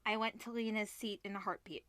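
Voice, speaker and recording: A woman's voice: mid-range voice, fairly even intonation, fairly quick, fairly bright tone, smooth, no room sound. A woman reading a visual novel aloud for a let's play, mixing narration with wry, casual asides. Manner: wry, breaks off to comment on the script.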